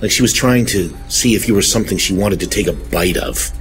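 An actor playing an earnest, troubled gentleman telling his story haltingly and properly in a radio drama. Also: deep voice, soft background music, lightly acted. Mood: brooding. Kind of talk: dramatic radio play narration.

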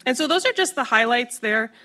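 A woman's voice: fairly high pitch, lively intonation, fairly quick, sharp tone. Fluent woman presenting report findings. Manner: fluent, with frequent ums. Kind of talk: panel presentation. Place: large conference stage.

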